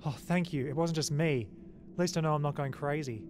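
A man's voice: a little high, fairly quick, over music, close to an everyday voice. A man playing an edgy, sarcastic spaceship pilot in an audio drama, talking in a blunt, sardonic way. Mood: uneasy.

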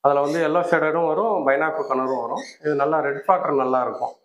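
A man's voice: fairly high pitch, quick pace, highs cut off, slight background noise. Male breeder, plain explainer, recorded in a roomy echoing aviary. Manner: plain, matter-of-fact explaining. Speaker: bird breeder and farm owner. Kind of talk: casual explanation to an interviewer. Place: indoor aviary with cages. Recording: echoey room.

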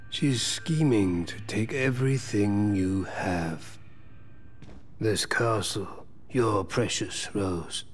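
A man's voice: lowish voice, a little hiss or hum. A man playing a cloaked, manipulative game villain who speaks in a menacing, insinuating way. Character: sinister cloaked male villain, coldly manipulative.

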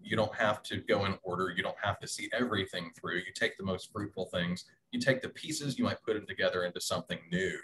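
A man in his thirties chatting casually on a video call, halting and repeating himself. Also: deep voice, a bit bright. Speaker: panelist, audio drama writer. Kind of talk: casual chat. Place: small room, indoors, on a video call. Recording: noisy video call with faint room noise.

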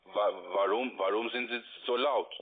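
Halting foreign-accented man on a phone. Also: lowish voice, steady tone. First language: not German. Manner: halting, limited German. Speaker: cold-calling trading salesman. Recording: muffled, tinny phone line.

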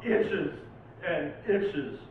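A man's voice: fairly high pitch, lively intonation, drawn-out words. A man who is a poet, performing at a live reading in a theatrical, dramatic manner. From Oregon, United States. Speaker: poet reading own poems. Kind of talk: live poetry reading with informal introductions. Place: live poetry night venue, recorded from a distance. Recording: tinny, very echoey, distant, faint noise.

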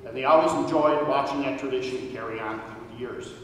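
A man's voice: medium pitch, fairly even intonation, brisk, slight background noise. A middle-aged man giving an earnest, formal tribute speech at a podium on an auditorium stage. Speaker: speaker at a hall of fame induction. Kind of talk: prepared speech read at a podium. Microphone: podium microphone, recorded from far. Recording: echoey hall from far off.

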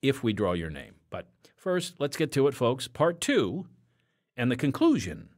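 A man's voice: medium pitch, big pitch swings, measured pace, darkish tone. Casting: American male host, folksy scripted storyteller, hugely varied intonation. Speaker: podcast host. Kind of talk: scripted, folksy dramatic hosting narration.

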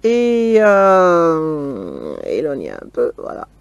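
A woman casually showing her crochet work step by step, often pausing on "voilà", talking from a camper van table with the camera on her hands. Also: deep voice, huge pitch swings, gravelly, no room sound, lo-fi sound. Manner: slow, step-by-step, fond of "voilà". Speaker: crochet vlogger. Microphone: camera filming her hands from close.

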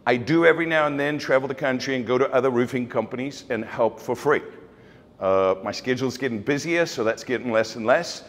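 A man's voice: medium pitch, fairly quick. Man, South African accent, middle-aged, certain and blunt, keynote talk, reverberant open room. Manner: certain, blunt, many fillers and restarts. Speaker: keynote speaker. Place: large open office event space.

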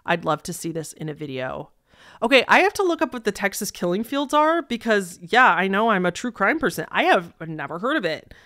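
A young woman hosting a story-reading show, speaking in a conversational, expressive way into a close desk microphone. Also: mid-range voice, brisk, dry recording. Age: young adult. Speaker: host reading listener-submitted stories. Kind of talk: reading stories aloud with casual commentary. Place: small decorated indoor room.

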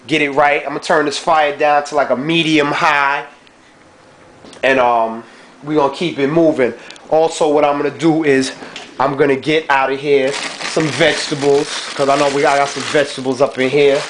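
A young man with a slightly high voice chats loosely and slangily as he cooks in a dim home kitchen. He's caught on a close handheld camera mic, with a faint hiss behind him. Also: fairly even intonation, normal speed, fairly bright tone. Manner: laid-back slangy chatter, frequent "you know what I'm saying". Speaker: home cook vlogger. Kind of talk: casual cooking vlog. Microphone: handheld camera mic held close.

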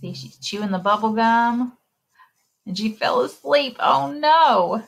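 A woman in her thirties reading a picture book aloud to children in an expressive, exclaiming way, speaking directly to her young listeners, with a slightly husky voice. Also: fairly high pitch, takes it slowly. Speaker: children's librarian and story time reader. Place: indoor room, recorded screen share with webcam.

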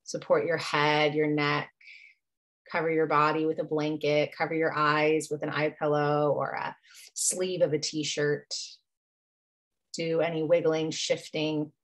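A woman guiding a meditation as a yoga nidra teacher, soothing and unhurried, leaving long pauses between phrases. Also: low-pitched, level tone. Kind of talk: guided meditation.

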